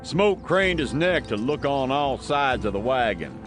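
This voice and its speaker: A man's voice: medium pitch, big pitch swings, a little slow. A man giving scripted dramatic narration of a western audiobook. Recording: music behind.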